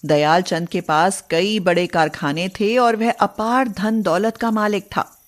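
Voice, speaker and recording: Slow-paced woman narrating a story. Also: medium pitch, fairly even intonation.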